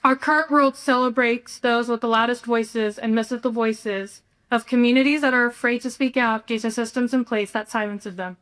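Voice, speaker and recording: A young American woman from Texas, a student speaker delivering a prepared speech in an earnest, deliberate way. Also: a little high, fairly even intonation, fairly bright tone. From Houston, Texas, United States. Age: young adult.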